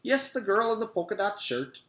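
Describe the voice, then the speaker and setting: A man voicing a sinister male teacher who steals children's voices, in a raised, smooth put-on voice. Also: sits high, sing-song, normal speed, a bit bright, echoey room, voice pushed up. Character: sinister male voice-thief teacher with three nostrils.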